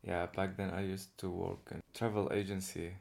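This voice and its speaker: Young male interview guest from Saudi Arabia, deep gravelly voice, relaxed and laughing. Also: normal speed. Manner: easygoing, laughs often while telling stories. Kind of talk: casual conversation.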